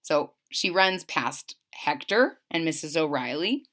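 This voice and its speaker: A woman narrates a children's story aloud. Her voice is bright and her pitch moves in lively swings. She takes her time, reading expressively and retelling in simple words. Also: unhurried.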